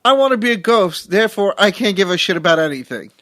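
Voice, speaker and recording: A man co-hosting a movie podcast who mostly agrees with his friend and adds his own points now and then. He has a fairly high voice that sounds dry and close, with no room echo. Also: very animated, fast talker, fairly bright tone. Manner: agreeable, chimes in with short backchannels. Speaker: podcast co-host. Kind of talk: casual movie review chat.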